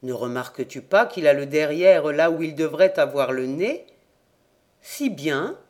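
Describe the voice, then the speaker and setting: A reader voicing an old king who is irritable and quick to anger, speaking in a gruff, blustering way. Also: mid-range voice, expressive, darkish tone, natural voice. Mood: indignant.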